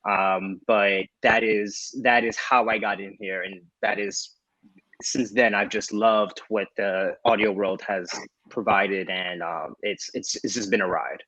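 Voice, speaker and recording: Male writer-panelist, fairly deep. Halting, reflective, unscripted delivery through dull, limited-quality audio. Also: fairly even intonation, pushed and bright, dry recording. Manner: halting, repeats words.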